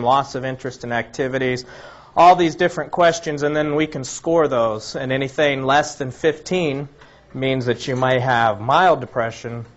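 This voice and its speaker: Guy giving a health talk, bit raspy from a cold, average pitch, dull muffled recording. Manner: explanatory, lists items one by one, frequent restarts. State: slightly raspy from a recent cold. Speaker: health presenter. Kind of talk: informal lecture to a live audience.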